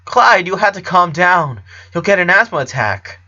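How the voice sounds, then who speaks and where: Man as a weary, halting young boy. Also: high-pitched, sing-song, pushed and bright, highs cut off, voice pushed up, exaggerated voice. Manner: halting, subdued. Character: sick eleven-year-old boy, weary and reluctant.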